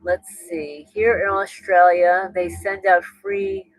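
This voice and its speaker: A woman's voice: on the low side, flat delivery, slow pace, no room sound, low-quality recording. Chatty woman livestream host. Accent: New Jersey. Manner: chatty, thinks aloud, frequent restarts and laughs. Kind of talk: casual livestream chat reading viewer comments.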